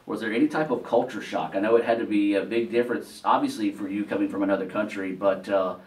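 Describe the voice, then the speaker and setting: A man hosts a casual talk show, his voice on the low side as he asks loose, folksy questions. He is heard from a distance in an echoey room. A faint hiss sits under him. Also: steady tone, quick pace. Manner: folksy, filler-heavy questions. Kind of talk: casual interview hosting.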